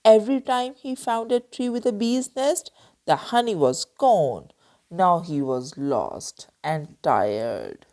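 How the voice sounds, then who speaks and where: A woman storyteller reading a children's book aloud with expressive narration. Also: fairly low pitch, slow.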